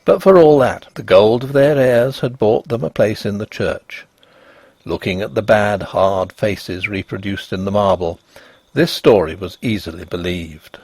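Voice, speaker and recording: A man narrating a ghost story in an expressive, measured and dramatic scripted reading. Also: fairly low pitch, husky, no room sound.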